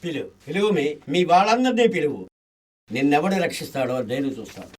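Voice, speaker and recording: An actor playing a boastful, foolish, lecherous villain in a radio play, theatrical and insistent. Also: male voice, on the high side, sing-song, fast, darkish tone, distant mic. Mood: boastful, taunting. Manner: theatrical, self-important, insistent. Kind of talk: radio play acting in Telugu.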